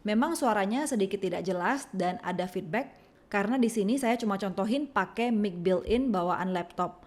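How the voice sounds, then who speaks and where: A woman with an Indonesian accent reading a scripted software tutorial, giving clear step-by-step instructions. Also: mid-range voice. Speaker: tutorial narrator.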